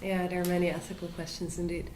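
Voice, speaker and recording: Female interviewer: lowish voice, casual and tentative phrasing, roomy recording. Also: flat delivery, quick pace. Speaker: student interviewer. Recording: roomy echo with background noise.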